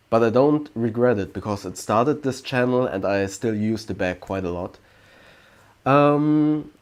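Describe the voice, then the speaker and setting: A man in his late thirties from Germany with a German accent, hosting a casual question-and-answer livestream, pausing often with ums and restarting sentences. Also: lowish voice, a little mellow. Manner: slow, hesitant, many ums and restarts. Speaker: livestream host.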